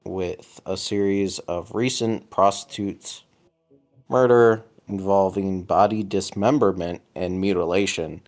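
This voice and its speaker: A man's voice: deep voice, steady tone, takes it slowly, darkish tone. A man playing a tabletop game, reading case documents aloud in a casual way. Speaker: tabletop role-playing player.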